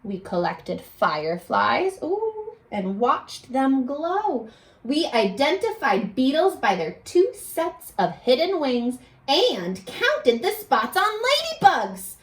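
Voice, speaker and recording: Woman, children's read-aloud, high-pitched, lively swooping intonation, teacherly, prompting young listeners. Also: slow, rough recording. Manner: expressive, exclamatory, coaxing children to respond.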